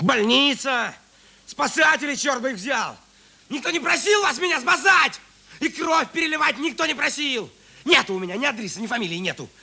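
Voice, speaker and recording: An actor playing a defiant, bitter criminal in a radio drama, insolent and theatrical, with a raspy, gravelly voice. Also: male voice, extremely high pitch, expressive, moderate pace, bright, forceful tone, a little hiss or hum, heavily acted. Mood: angry, defiant. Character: defiant, bitter male criminal lying in hospital.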